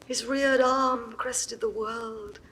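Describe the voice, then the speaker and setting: An actress playing a proud, grieving Egyptian queen in a classical verse recording, moving from hushed whispers to ringing outbursts. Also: fairly high pitch, fairly even intonation, slow, slightly rough, full character voice. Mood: grieving, dreamy.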